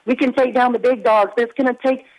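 A woman with a raspy voice calling in to a radio show, speaking loudly and earnestly over the phone. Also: a little high, fairly even intonation, fast, rough recording. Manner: loud, emphatic, earnest. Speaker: guest caller. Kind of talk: phone-in interview. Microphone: phone call.

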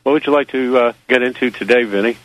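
A man who publishes a magazine, guesting on a radio interview and rambling through halting answers full of fillers and wry asides. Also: medium pitch, dry recording, highs cut off. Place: New Zealand.

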